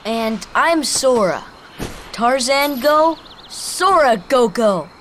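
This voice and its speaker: A man's voice: extremely high pitch, expressive, slow, a bit bright, a little hiss or hum, exaggerated voice. An actor playing an earnest, friendly young teenage boy adventurer in a game, hesitant, with an American accent. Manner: hesitant, puzzled. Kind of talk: video game cutscene acting.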